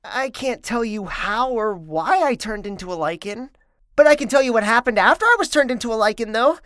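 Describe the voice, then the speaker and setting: Woman playing a lively little furry boy creature. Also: on the high side, a little fast, dry recording, clean studio sound. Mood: curious, eager. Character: curious, good-natured small furry male creature, amnesiac cursed boy. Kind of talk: animated web series voice acting.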